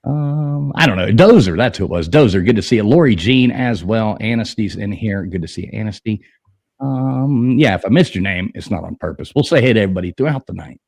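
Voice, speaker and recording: A man's voice: fairly low pitch, lively intonation, fairly quick, vocal fry, a little mellow, dry recording. A man co-hosting a live-stream trivia night, chatting casually and greeting viewers by name. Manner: laid-back, greets chat by name. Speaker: stream co-host.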